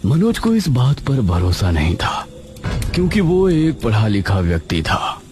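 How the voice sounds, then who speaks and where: A man's voice: medium pitch, huge pitch swings, a little slow. A man narrating a scripted cartoon story in a dramatic storytelling manner. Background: music.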